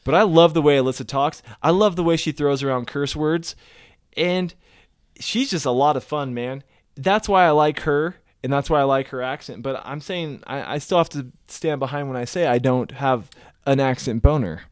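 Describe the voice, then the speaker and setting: A man co-hosting a loose podcast chat, his voice sitting at an ordinary middle pitch. He rambles and stalls on sentence starts, repeating words before he gets going. The sound is dry and close, with no room around it. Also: moderate pace, clear, resonant tone, no room sound. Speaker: podcast co-host. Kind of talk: casual chat.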